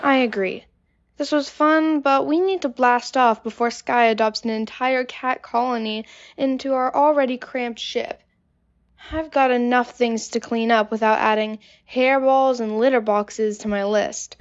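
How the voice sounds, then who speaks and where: A woman's voice: fairly high pitch, fairly even intonation, measured pace, dull audio, lightly acted. A woman playing a practical, matter-of-fact ship engineer in a comedy radio drama, with a dry manner.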